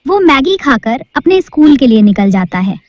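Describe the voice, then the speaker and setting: A woman's voice: sits high, sing-song, normal speed, a little mellow, dry recording, studio quality. A woman narrating a cartoon story in a storybook-telling manner.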